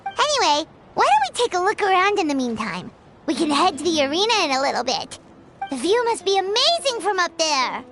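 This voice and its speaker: A woman voicing a small, perky, cheeky fairy companion with a squeaky childlike voice in an anime video game. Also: sing-song, fairly quick, exaggerated voice. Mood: cheeky, playful. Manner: refers to self in third person, exclamatory. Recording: music behind the voice.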